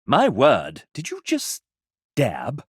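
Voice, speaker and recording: A man voicing a sassy, pompous, playful gentleman who hosts and narrates a video game in a showy, theatrical way. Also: medium pitch, takes it slowly, husky, dry recording, studio quality, exaggerated voice. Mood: showy, excited. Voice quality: animated theatrical delivery. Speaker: game show style host and narrator.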